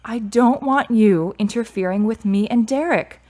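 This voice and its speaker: A woman's voice: fairly high pitch, moderate pace, warm tone, lightly acted. A woman playing a grieving, independent and prickly adult daughter in a radio drama, speaking in a curt, guarded way. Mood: irritated, firm.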